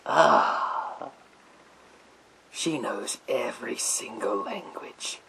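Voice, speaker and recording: A man voicing a menacing psychic villain in a gas mask, in a theatrical, drawn-out ASMR roleplay whisper with a creaky edge. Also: medium pitch, sing-song, pushed and bright, exaggerated voice. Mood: probing, sly. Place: small indoor room with bookshelves.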